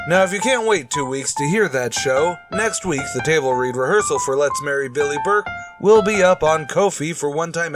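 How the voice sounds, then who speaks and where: A man hosting a podcast, giving an outro announcement in a chatty, playful way. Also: fairly high pitch. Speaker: announcer and host. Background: music.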